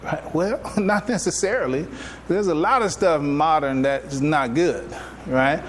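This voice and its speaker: A man giving a religious lecture as an imam, conversational and halting, repeating phrases and checking in with his listeners. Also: a little high, slight background noise. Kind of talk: religious lecture, explaining to an audience.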